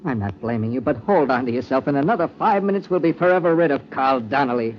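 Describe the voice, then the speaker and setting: An actor playing a desperate, guilt-ridden killer in an old-time radio drama, hard-boiled but cracking with nerves. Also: male voice, medium pitch, lively intonation, lightly acted. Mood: grim, strained. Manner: halting, stammering when explaining. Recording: dull, noisy old radio recording.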